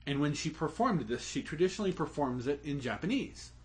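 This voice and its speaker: A man co-hosting a podcast, explaining a topic at length in relaxed chat. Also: on the high side, a little fast. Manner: explains at length, with fillers and restarts. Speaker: podcast co-host. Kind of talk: casual chat.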